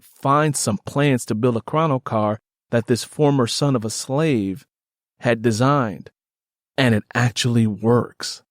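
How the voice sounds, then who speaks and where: A man's voice: medium pitch, dry recording, studio quality. A man hosting a podcast interview in an enthusiastic, conversational way, emphatic and given to repeating words for effect.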